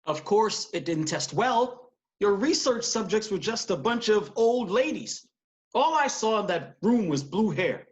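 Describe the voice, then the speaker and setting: A man's voice: high-pitched, sing-song, unhurried. A man acting an earnest, persuasive television producer in a screenplay table read. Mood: dismissive.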